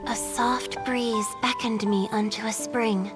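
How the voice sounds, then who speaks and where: An actress playing a gentle, wistful fairy woman in a video game, soft-spoken, measured and poetic. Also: fairly high pitch, fairly even intonation. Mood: wistful, storytelling. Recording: music clearly behind the voice.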